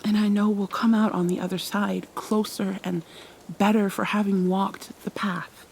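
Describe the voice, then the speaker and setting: A woman playing a tender, obsessive female kidnapper soothing her captive in an intimate ASMR roleplay, speaking softly and haltingly, often in breathy whispers. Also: mid-range voice, flat delivery, close to an everyday voice. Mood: tender, coaxing. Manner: hesitant, halting, coaxing. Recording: clear background noise, rain ambience.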